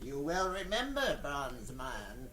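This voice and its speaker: An actor playing an oily, scheming foreign trader villain in an old radio melodrama, speaking in florid, formal, theatrical phrases. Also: male voice, mid-range voice, sing-song, takes it slowly, pushed and bright, heavily acted. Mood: sly, evasive.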